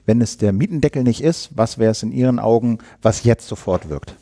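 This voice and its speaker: A man's voice: mid-range voice, warm tone, no echo, clean studio sound. A man co-hosting a political podcast, cutting in with short follow-up questions. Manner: quick, interjecting follow-ups. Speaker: podcast host. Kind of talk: political interview.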